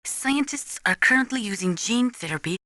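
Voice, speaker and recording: A serious female military analyst from a video game. Her voice is clear-edged and bright, recorded very dry and close. She lectures with measured precision, like an expert adviser briefing a soldier. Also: a little high, expressive, no echo. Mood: serious, cautioning. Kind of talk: scripted expository video game dialogue.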